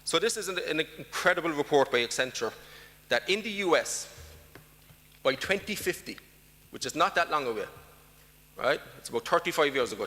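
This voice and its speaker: A man's voice: fairly high pitch, sharp tone, long reverb tail. A man with an Irish accent giving an emphatic conference talk, putting rhetorical questions to the audience. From Ireland. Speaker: conference speaker. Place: large conference hall.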